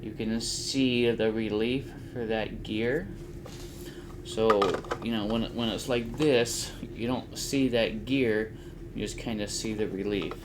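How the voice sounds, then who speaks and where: A middle-aged man casually explaining a hands-on build, halting as he thinks aloud, in a small home room. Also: fairly low pitch, fairly even intonation. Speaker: host of a DIY flight simulator build channel. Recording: faint background room noise.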